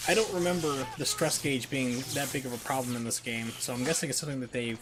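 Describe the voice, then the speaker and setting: A man's voice: medium pitch, fast. A man casually commentating on a video game as he streams it live. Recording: noisy stream with game sound. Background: video game ambience.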